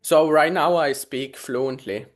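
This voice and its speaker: A man's voice: on the high side, slow, soft background music. A young man from Poland, a Polish native speaker, answering interview questions hesitantly with many fillers and easy laughs. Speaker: guest.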